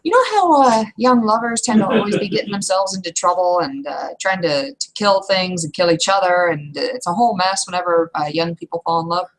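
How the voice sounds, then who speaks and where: Roleplay troll, seasoned young mentor, female player. Lowish pitch, emphatic, plain-speaking and talkative. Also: fairly quick, hardly any pauses, lightly acted, poor audio. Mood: chatty, explaining. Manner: blunt, chatty, emphatic. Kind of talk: live roleplay improvisation.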